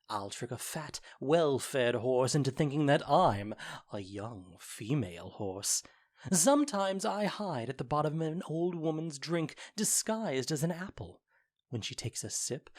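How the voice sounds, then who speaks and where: A man playing a mischievous, playful trickster fairy in an audio drama, with gleeful, theatrical storytelling. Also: mid-range voice. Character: mischievous playful male sprite, a boastful young trickster fairy. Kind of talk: modern-English audio drama acting.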